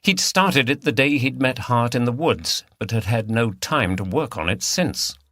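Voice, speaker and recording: A man's voice: medium pitch, animated, normal speed, bright, forceful tone, dry recording, studio quality. A man reading a mystery novel as scripted audiobook narration.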